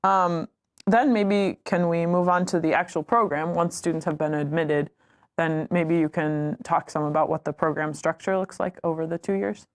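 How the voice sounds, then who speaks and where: A woman's voice: on the low side, fairly even intonation, moderate pace. A young adult woman, a student, hosting an information session and prompting her guests with friendly, encouraging remarks. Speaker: host.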